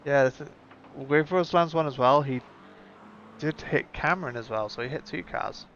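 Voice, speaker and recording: A man's voice: fairly high pitch, moderate pace, a bit bright. A man hosting a live sim racing stream with casual, conversational commentary, thinking aloud as he goes. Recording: muffled lo-fi audio with background noise.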